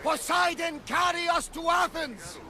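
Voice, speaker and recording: An actor playing a boisterous, devout old ship captain who talks loudly and exuberantly in a game cutscene, with crowd sounds behind him. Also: male voice, very high-pitched, measured pace, vocal fry, full character voice. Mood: rousing. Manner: loud, exuberant, swears by the gods.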